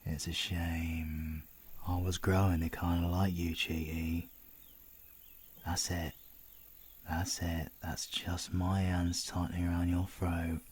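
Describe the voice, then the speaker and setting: Low, slow British supervillain, acted by a man. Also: level tone, darkish tone. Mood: menacing, taunting. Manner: taunting, slangy, sweary, often hissing in whispers. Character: menacing, cocky male supervillain, taunting and possessive. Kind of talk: ASMR audio roleplay acting.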